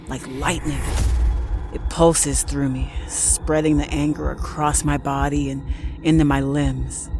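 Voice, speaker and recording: Woman playing a low-voiced vengeful nurse in audio drama. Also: a little mellow. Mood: cold, brooding. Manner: theatrical, swinging between cooing reassurance and private contempt. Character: vengeful nurse, sweetly soothing outside, cold and bitter within. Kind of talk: first-person audio drama narration with acted dialogue.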